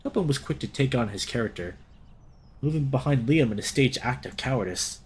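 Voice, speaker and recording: A man's voice: mid-range voice, animated, brisk. A young man hosting a let's play, reading a visual novel aloud expressively and reacting as he goes. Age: young adult.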